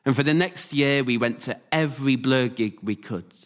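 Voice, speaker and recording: A man performing a scripted autobiographical story live, delivered in measured short phrases. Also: medium pitch. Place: radio theatre stage, before a live audience.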